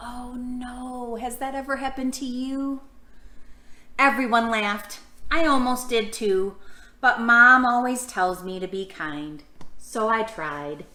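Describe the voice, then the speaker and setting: A woman's voice: fairly high pitch, takes it slowly, bright, forceful tone. A woman leading a storytime for young children, reading aloud and asking her listeners questions in an encouraging way. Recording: roomy, echoing room sound.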